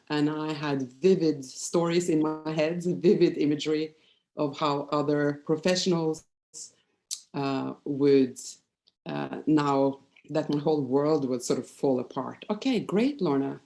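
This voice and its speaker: A Norwegian-accented woman in her fifties speaks with a low, soft-edged, mellow voice. She presents like a psychologist sharing personal experience at an informal talk. Her delivery is candid and hesitant, halting as she finds her words. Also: gravelly. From Norway. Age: 50s.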